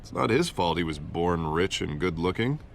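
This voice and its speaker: Game role: reassuring, affable officeholder, expressive low voice, faint background noise. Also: male voice, normal speed, gravelly. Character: confident, affable male public official, loyal friend. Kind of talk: dramatic video game dialogue.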